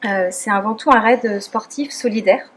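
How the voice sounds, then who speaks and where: A woman's voice: fairly high pitch, moderate pace, slight background noise. A woman presenting her team's charity race project, a little unprepared and dotting her talk with "voilà". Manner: a bit unprepared, says "voilà" often.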